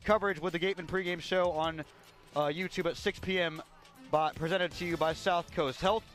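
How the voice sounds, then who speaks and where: High-voiced male baseball play-by-play announcer. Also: soft background music. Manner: measured, building to excited calls on big plays. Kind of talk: radio sports commentary. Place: outdoor ballpark broadcast.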